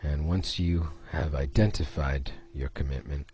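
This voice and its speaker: A man leading a guided meditation, speaking in a slow, soothing way with pauses between phrases. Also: extremely low pitch, fairly even intonation, a little mellow.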